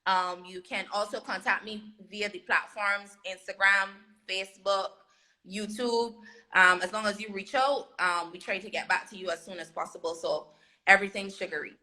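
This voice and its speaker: Bright-voiced Barbadian woman singer. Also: medium pitch, moderate pace. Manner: relaxed, laughs easily, brief answers. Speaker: singer, interview guest. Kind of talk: casual interview answers.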